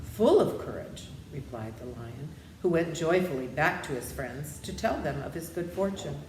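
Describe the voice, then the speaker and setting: A woman's voice: deep voice, lively intonation, moderate pace. A woman reading a children's story aloud, with friendly asides to her listeners. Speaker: storybook reader. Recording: roomy echo with background noise.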